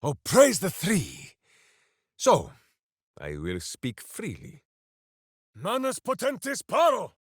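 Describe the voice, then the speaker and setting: A huge, righteous, simple-hearted warrior from a fantasy video game. His delivery is slow and bombastic, with enormous swings in pitch. He declaims quaint phrases boisterously, in a very dry, close recording. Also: wildly swooping pitch, husky, no echo, heavily acted. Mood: rousing, bellowing. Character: big brawny good-hearted warrior, boisterous, simple, righteous, devoted to hamster. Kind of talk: video game character voice lines.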